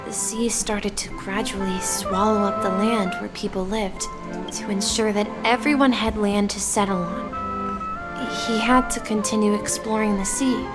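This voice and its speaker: A woman's voice: medium pitch, close to an everyday voice. A woman voicing an earnest young boy who dreams of adventure at sea, in a video game. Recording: game music under the voice.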